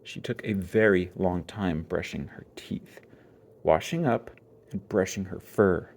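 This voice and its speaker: A man narrating a children's storybook aloud with expressive storytelling. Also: deep voice, unhurried, a little hiss or hum. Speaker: storybook reader.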